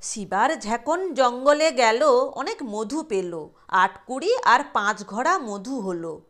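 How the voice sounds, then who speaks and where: A woman's voice: on the high side, animated, takes it slowly, a bit bright. A woman reading a literary short story aloud as its narrator.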